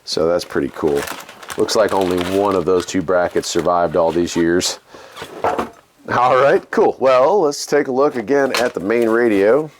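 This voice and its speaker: A man's voice: on the low side, measured pace, noisy background. An American man hosting a casual unboxing and demonstration, talking in a folksy, conversational way as he thinks aloud. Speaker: video host.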